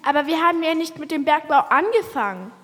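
A woman's voice: sits high, expressive, fast talker, a bit bright. A female performer playing a bold, questioning eleven-year-old child who talks back indignantly in an audio play. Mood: challenging. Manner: challenging, indignant. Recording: long cave-like reverb.

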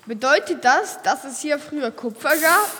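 Lady as a curious young kid-like questioner, high voice, insistent, kids audio play with big reverb. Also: lively intonation, moderate pace, bright, forceful tone. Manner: inquisitive, insistent. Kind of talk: children's audio drama acting. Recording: voice in a long hall reverb.

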